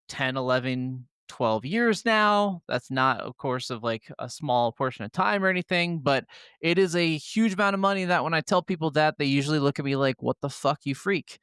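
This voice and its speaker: Dude co-hosting a gaming podcast, smooth clear voice, average pitch, giving opinions, no room echo. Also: male voice, a bit bright, clean studio sound. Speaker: podcast co-host. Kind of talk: casual opinionated gaming chat.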